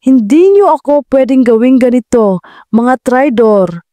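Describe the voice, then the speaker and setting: A woman's voice: high voice, expressive, unhurried, dark tone, dry recording, voice pushed up. A woman voicing a vengeful, unhinged villainess making furious threats in an audio drama. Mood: crazed anger. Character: raving, vengeful villainess screaming threats in fury.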